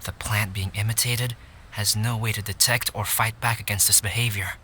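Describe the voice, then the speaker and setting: An actor playing a calm, analytical young man in a video game cutscene, speaking in a measured, articulate and formal way. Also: fairly low pitch, a little hiss or hum.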